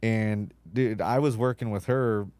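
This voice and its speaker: A man's voice: fairly low pitch, fairly even intonation, measured pace, a little mellow, clean tone, no room sound. A man in his thirties, a podcast guest whose first language is Spanish, talking casually with lots of likes and you-knows. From United States, Mexican immigrant mother.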